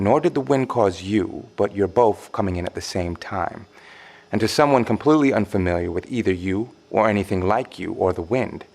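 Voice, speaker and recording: Casting: cryptic insider in audio drama, low gravelly voice, formal, measured, drily condescending. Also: male voice. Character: secretive, composed male insider warning survivors of monsters.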